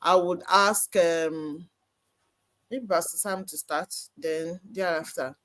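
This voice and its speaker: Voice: mid-range voice, fairly even intonation, slow, a bit bright. The host of a church live-stream panel, speaking conversationally and explaining at length, with frequent "you know" fillers. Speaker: host, moderator.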